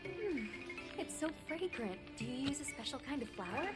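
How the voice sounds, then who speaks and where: High, lively, courteous woman traveler. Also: normal speed. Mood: curious. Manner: courteous, earnest. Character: polite, earnest young woman traveler. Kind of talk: video game cutscene acting. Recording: loud music behind. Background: music.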